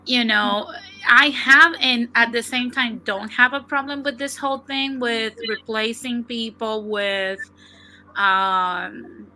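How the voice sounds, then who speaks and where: A woman's voice: on the high side, fairly even intonation, takes it slowly, sharp tone, a little hiss or hum, low-quality recording. A woman guest on a livestream, giving her opinions in a casual talk and often restarting her points as she thinks them through.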